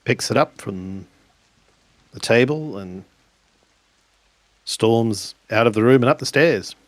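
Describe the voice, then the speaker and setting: A man improvising narration for a tabletop role-playing game, in halting, deliberate phrases with pauses. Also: medium pitch, lively intonation, no echo.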